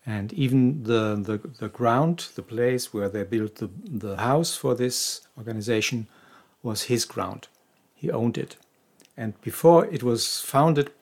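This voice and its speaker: A man co-hosting a podcast, his voice sitting at an ordinary middle pitch. He explains things casually and knowledgeably, often restarting his phrases. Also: darkish tone. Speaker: podcast co-host.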